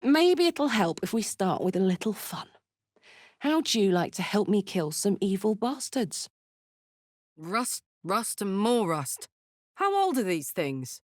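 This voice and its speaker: Battle-hardened, big-hearted tiefling warrior woman for a video game; blunt, sweary, unhurried, dry studio sound. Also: medium pitch, sing-song, dry recording. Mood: playful, affectionate. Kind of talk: video game character voice lines.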